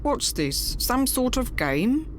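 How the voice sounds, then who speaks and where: A woman's voice: on the high side, very animated, takes it slowly, a little hiss or hum, pitched above the performer's own voice. Woman reading a stolid, sedate husband. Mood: exasperated. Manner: stolid, matter-of-fact. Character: sedate, stolid, hard-working middle-class husband.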